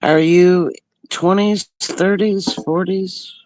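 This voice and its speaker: Slow, hesitant man, dry close sound. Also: sits high, steady tone, darkish tone, no room sound, low-quality recording. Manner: hesitant, rambling, many fillers. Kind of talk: casual chat.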